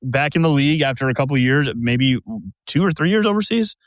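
A man's voice: fairly high pitch, animated, brisk, no room sound, rough recording. A man hosting a casual sports podcast, talking in a conversational way, full of fillers, and steering the talk with questions. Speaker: podcast host. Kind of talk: casual chat.

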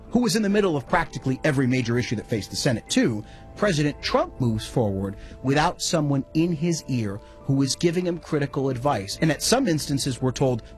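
A man with an American accent reporting as a political correspondent in a live news two-way. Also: medium pitch.

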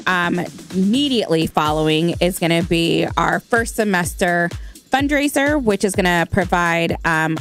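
A woman's voice: lowish voice, a bit bright. A woman from Malawi, a nonprofit founder, giving conversational answers as a radio guest. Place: radio studio.

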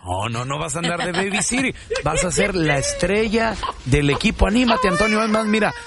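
Creaky high-pitched Mexican man on a prank call. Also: expressive, brisk. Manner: mock-earnest, teasing persuasion. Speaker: radio show prank caller. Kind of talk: prank phone call, improvised.

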